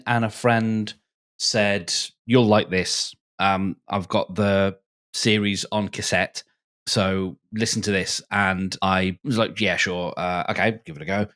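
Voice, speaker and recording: A man chatting casually as a podcast guest, telling a personal anecdote in a halting way with repeated words. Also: lowish voice, smooth.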